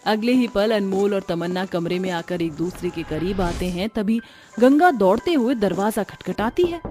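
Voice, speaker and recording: A woman's voice: mid-range voice, expressive, normal speed, dark tone, soft background music. A woman reading scripted narration as the storyteller of a family tale.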